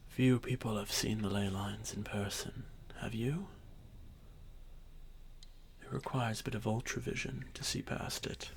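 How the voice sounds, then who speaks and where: A man playing an arrogant, ancient dragon boy who was once a god, speaking with haughty condescension in a breathy, creaky voice for a fantasy ASMR roleplay. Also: on the low side, steady tone, a little hiss or hum. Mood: proud, instructive. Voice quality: breathy, whispery, with creaky edges. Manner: haughty, condescending, unhurried formal speech.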